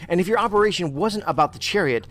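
A man's voice: sits high, expressive, quick pace, fairly bright tone. A man playing a combative, sardonic federal investigator in a science fiction audio drama, with a dry, needling manner. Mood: probing.

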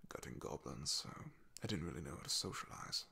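A man playing a young, humble, devoted knight in an ASMR roleplay, soft-spoken and halting, with a breathy, gravelly half-whisper. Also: a bass voice, expressive, exaggerated voice. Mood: shy, hesitant.

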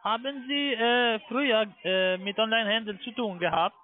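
A man with a foreign accent, not a native German speaker, making a halting call-centre sales pitch over a thin telephone line. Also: fairly even intonation. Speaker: call-centre phone caller. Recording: tinny narrow phone line.

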